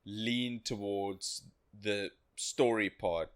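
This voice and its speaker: A man's voice: lowish voice, drawn-out words, smooth. A man co-hosting a podcast, talking casually about retro video games. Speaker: podcast co-host.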